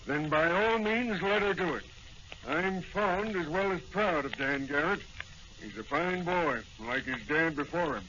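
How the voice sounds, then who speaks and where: An actor playing a fond, authoritative police commissioner in 1940s-style radio drama, formal and emphatic. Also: male voice, a little high, measured pace, bright, forceful tone. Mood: concerned, fond. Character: gruff but fond police commissioner and father. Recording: noisy, muffled old-time radio recording.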